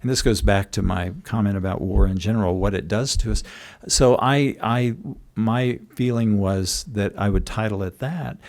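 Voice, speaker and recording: A man's voice: lowish voice, normal speed, darkish tone. Man giving an explanatory author talk. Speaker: author speaking about his book. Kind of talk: public book talk with audience questions.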